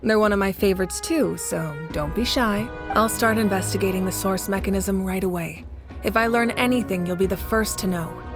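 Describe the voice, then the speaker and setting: A woman playing a gracious, composed female ruler in a video game, speaking in a measured, authoritative manner. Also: medium pitch, over music, close to an everyday voice. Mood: kindly.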